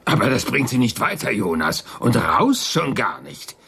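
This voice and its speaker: A man's voice: medium pitch, huge pitch swings, moderate pace, creaky, sharp tone, breathy edge, heavily acted. A man playing a haughty, combative villain in a radio drama, sneering and argumentative. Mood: cold, defiant.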